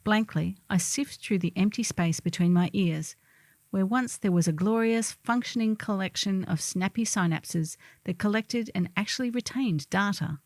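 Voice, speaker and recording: A woman's voice: medium pitch, normal speed, a little mellow, no room sound. A middle-aged woman reading a humorous first-person column in a wry, theatrical storytelling manner.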